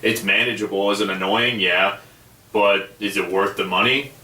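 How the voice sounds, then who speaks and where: A man's voice: on the low side, steady tone, normal speed, sharp tone, roomy sound, distant mic, poor audio. A man co-hosting a casual gaming podcast, easygoing and arguing his point with lots of fillers. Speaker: podcast co-host.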